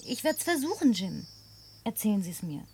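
A woman's voice: mid-range voice, sing-song, moderate pace, darkish tone, a little hiss or hum. A woman acting in a German radio play as a headstrong, challenging companion who is direct and probing. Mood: curious. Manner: direct, probing, formally addressing her companion. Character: headstrong, challenging woman sharing a home with a drifter.

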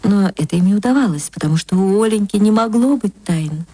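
An actress reading a short story for radio, in expressive dramatic literary narration with drawn-out words. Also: female voice, mid-range voice, husky, no echo.

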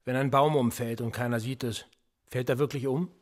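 Sardonic, needling policeman with a husky edge, played by an actor. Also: medium pitch, fairly even intonation, moderate pace, natural voice. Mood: teasing. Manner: sarcastic, probing, provocative. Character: sardonic, needling male police interrogator, quietly menacing. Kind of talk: German radio play acting.

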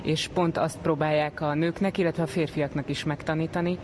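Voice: mid-range voice, little pitch movement. Interviewer with faint street noise. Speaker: radio interviewer. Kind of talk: conversational interview questioning.

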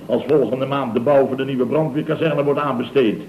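An actor playing a flustered, blustering middle-aged contractor boss who stammers, repeats himself and grumbles in a comic radio drama. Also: male voice, mid-range voice, fairly even intonation, fairly quick, lightly acted. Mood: sarcastic, aggrieved. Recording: dull, roomy old radio recording with hiss.

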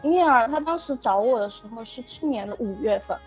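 A woman with a slightly high voice answers questions as a guest. She talks casually and hesitantly, searching for her words. Quiet music sits behind her. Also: expressive, a little fast. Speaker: poster artist for an audio drama, as guest. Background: background music.

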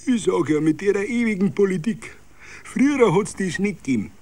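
A mild Bavarian regular with old-fashioned views, played by a male reader. The voice sits high and plaintive, soothing and nostalgic, in a radio play tavern scene. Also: animated, normal speed, darkish tone. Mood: weary.

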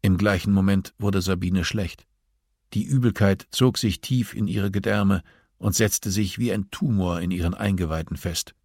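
A man narrates a thriller audiobook from a script. His voice is on the low side with a husky grain, and the recording is very dry, free of room sound. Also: normal speed, darkish tone, no echo.

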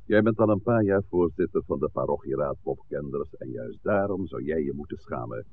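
Stern deep-voiced village priest. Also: male voice, animated, vocal fry, soft background music, heavily acted. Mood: stern, reproachful. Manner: admonishing, measured, formal. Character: stern village priest scolding his parishioners, shrewd and authoritative. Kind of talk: 1960s radio play acting. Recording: tinny, noisy old radio recording.

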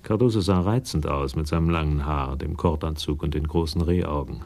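A man's voice: very deep voice, brisk, darkish tone. A man narrating a German radio crime drama from a script in a storytelling role.

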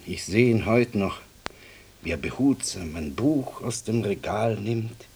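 Devout gentle librarian, radio drama, husky breath, lowish, lively melody, reverent, reflective. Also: male voice, measured pace, slight background noise. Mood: reverent, wistful. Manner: reverent, reflective storytelling with deferential asides.

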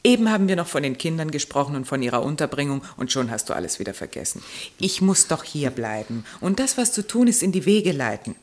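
A low-voiced, stern aristocratic wife. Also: female voice, very animated, darkish tone. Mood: reproachful, bitter. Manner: earnest, reproachful, measured. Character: stern, brooding, dutiful aristocratic wife and mother. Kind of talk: German radio drama acting.

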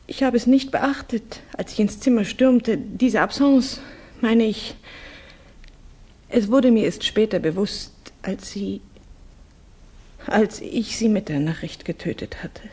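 Husky, grieving young woman. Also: mid-range voice, darkish tone. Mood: grieving, halting. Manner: halting, formal, intense. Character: grieving young Russian woman, refined, earnest, fragile. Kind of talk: 1960s German radio drama acting.

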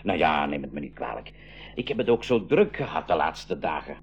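An actor in a radio play voicing a flustered, absent-minded professor uncle who stammers and repeats himself when startled. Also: male voice, very deep voice, big pitch swings, normal speed, highs cut off, heavily acted. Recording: 1980s radio play with music.

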